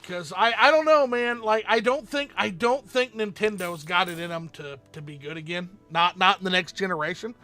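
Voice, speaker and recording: Male streamer host, high and very bright voice, loose rambling chat. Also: normal speed, few pauses, soft background music. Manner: rambling, reads out viewer chat and answers it. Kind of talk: casual livestream chat about games.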